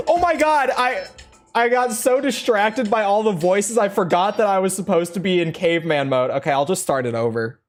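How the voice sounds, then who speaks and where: A man giving playful let's play commentary, chatty and quick to laugh. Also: high-pitched, soft background music. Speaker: video game streamer.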